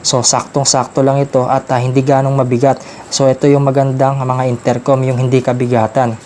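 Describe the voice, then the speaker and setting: A man's voice: mid-range voice, little pitch movement, fairly quick, darkish tone, slight background noise. A man with a Filipino accent giving a casual, explanatory product review, addressing viewers informally as a vlogger. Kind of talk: casual unboxing and review talk.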